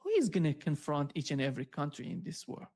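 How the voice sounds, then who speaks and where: A man's voice: on the high side, brisk, vocal fry, a little mellow. A man hosting a political commentary, speaking emphatically and repeating words for stress. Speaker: host.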